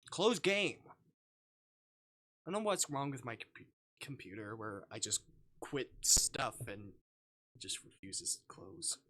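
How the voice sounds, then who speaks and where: Bright-voiced, animated game streamer rambling casually. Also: mid-range voice, measured pace, pauses often. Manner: rambling, thinking aloud. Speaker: live streamer. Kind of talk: casual livestream chat while playing visual novels.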